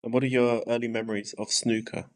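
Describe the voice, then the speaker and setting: A man's voice: medium pitch, flat delivery, quick pace, darkish tone. A man hosting a podcast, putting casual, conversational questions to a guest. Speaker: podcast host, interviewer.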